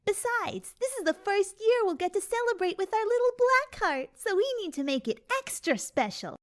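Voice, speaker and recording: A woman's voice: extremely high pitch, big pitch swings, moderate pace, a bit bright, full character voice. A woman playing an ever-cheerful, bubbly winged unicorn mother in a dramatic cartoon story reading, gushing and affectionate in a sing-song way. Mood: cheerful, excited.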